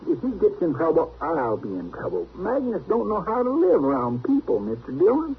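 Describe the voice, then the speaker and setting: A man's voice: fairly high pitch, wildly swooping pitch, moderate pace, vocal fry, slightly rough, narrow, tinny audio, heavily acted. An actor playing an exasperated, bossy frontier lawman's helper with a folksy Southern drawl, in old radio western style. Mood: scolding. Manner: scolding, querulous. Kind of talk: 1950s radio western acting. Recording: band-limited, noisy old radio recording.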